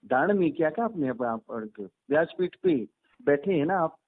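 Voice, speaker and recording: High-pitched, animated man on a phone line. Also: moderate pace, gravelly. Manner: insistent, lecturing, repeats himself. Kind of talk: argumentative phone conversation. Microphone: phone call. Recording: tinny phone-line call recording.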